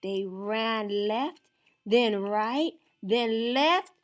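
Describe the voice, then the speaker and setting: A woman reading aloud to children in an expressive, dramatic, deliberate storytime style. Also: fairly high pitch. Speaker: storytime reader.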